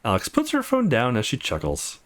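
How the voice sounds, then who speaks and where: A man reads a visual novel aloud as a let's play host. His intonation is extremely lively, rising and falling widely. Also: medium pitch, a little fast. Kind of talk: reading visual novel game text aloud.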